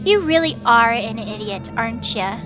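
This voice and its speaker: A woman's voice: a little high, lively intonation, moderate pace, close to an everyday voice. A woman playing a malevolent young goddess in an audio drama, sly, taunting and menacing. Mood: scornful. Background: music.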